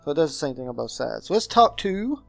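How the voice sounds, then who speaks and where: A man's voice: a little high, very animated, fast, creaky, soft background music. A man giving casual let's play commentary as he reads through a visual novel game. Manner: reads game text aloud in character voices. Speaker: let's player.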